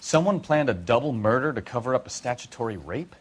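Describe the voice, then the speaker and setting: A man's voice: medium pitch, sing-song, brisk, slightly rough. An actor playing a blunt, cynical male detective partner. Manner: blunt, sardonic.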